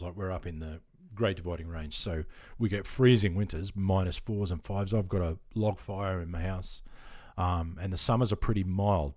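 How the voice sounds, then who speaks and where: A man's voice: sits low, a little mellow, no room sound, clean studio sound. A middle-aged Australian man chatting casually on a live stream, easygoing and full of ums, answering viewers and calling them mate. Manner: easygoing and chatty, filler-heavy, keeps calling people mate.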